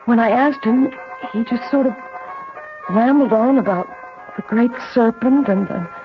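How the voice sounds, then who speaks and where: A woman's voice: a little high, a little slow, over music, close to an everyday voice. An actress playing an anxious, shaken younger woman in an old-time radio drama, asking questions in a hesitant, breathless way. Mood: tense. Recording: thin, phone-like old radio sound.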